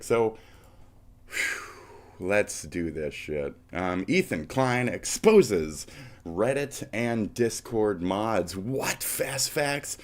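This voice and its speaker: Stuffy-nosed man ranting on a stream. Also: on the low side. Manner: profane, rambling, half-rapping. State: stuffy, snotty nose. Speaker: streamer host. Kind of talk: casual live-stream rant.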